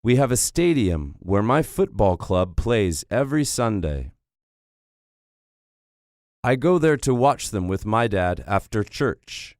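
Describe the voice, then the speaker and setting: Guy reading as a french village kid, slow careful lines, lowish voice, dry close sound. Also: big pitch swings, a little mellow, dry recording, heavily acted. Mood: plain. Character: French village boy, cheerful and plain. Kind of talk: scripted textbook reading.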